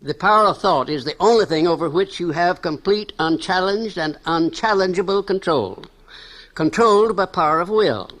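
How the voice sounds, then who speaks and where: A man's voice: sits high, expressive, normal speed, fairly bright tone, poor audio. A man giving a formal, emphatic reading of a scripted text.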